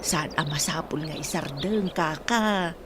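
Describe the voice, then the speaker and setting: A woman's voice: low voice, measured pace. A woman playing a caring younger sibling in a radio drama. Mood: concerned, gentle. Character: caring woman looking after an older sibling. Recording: noisy outdoor ambience with birdsong. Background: outdoor ambience, birds.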